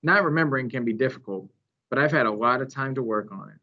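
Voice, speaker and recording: A man's voice: mid-range voice, animated, quick pace, bright, forceful tone. A man voicing a weary, reflective male gorilla in a storybook. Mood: wistful. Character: weary, pensive big male silverback gorilla. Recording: dull, lo-fi recording.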